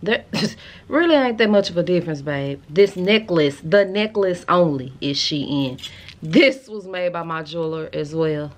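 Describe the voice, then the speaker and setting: An American woman in her thirties chatting casually to camera in a sassy, slangy, animated way, as a vlogger showing a haul. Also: on the low side, unhurried. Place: furnished room at home, indoors.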